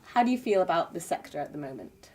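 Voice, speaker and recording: A woman's voice: lowish voice, big pitch swings, fast talker. A woman interviewer asking short, friendly prompting questions. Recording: distant mic with faint background noise.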